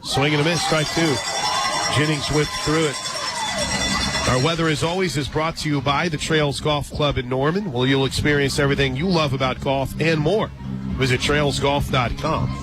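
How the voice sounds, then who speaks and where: A man's voice: on the high side, fairly even intonation, normal speed, rough recording. A man doing live radio play-by-play of a sports game, with conversational asides between calls. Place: outdoor ballpark radio booth. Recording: crowd and music behind the voice. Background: loud crowd, music.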